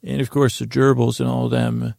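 A man hosts a podcast, rambling a meandering bedtime tale with a goofy, self-deprecating ease. His voice is deeply gravelly and creaky, with a narrow, even pitch. He is close on a dry mic with no room sound. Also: moderate pace, no room sound. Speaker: podcast host. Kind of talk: rambling bedtime story monologue.

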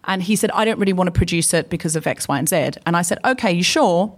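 A woman's voice: on the low side, fast talker. A woman co-hosting a live comedy podcast on stage, chatty and anecdotal, acting out both sides of the conversations she retells. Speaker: podcast co-host. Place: live stage before an audience.

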